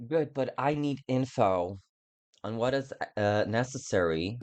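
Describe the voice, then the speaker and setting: A man giving casual game playthrough commentary in a halting, self-deprecating way, swearing freely. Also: mid-range voice, lively intonation, darkish tone. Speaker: game streamer.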